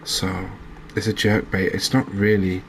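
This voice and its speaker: A man giving gentle, unhurried ASMR commentary as he plays a game, pausing and hesitating as he goes. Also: deep voice, flat delivery, vocal fry, slight background noise. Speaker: host of a gaming channel. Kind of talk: ASMR let's-play commentary.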